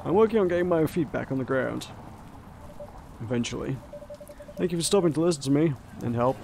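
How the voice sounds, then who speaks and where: A man's voice: medium pitch, animated, a little fast, creaky, noisy background. A man voicing a polite, weary young man down on his luck, begging for change in a visual novel. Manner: polite, weary, soft-spoken.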